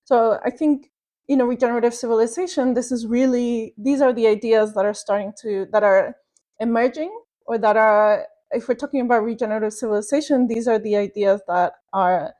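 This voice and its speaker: A woman's voice: a little high, brisk, dark tone. Thoughtful woman from Venezuela. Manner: thoughtful, many fillers and restarts. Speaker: podcast guest, researcher. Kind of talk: reflective interview answer.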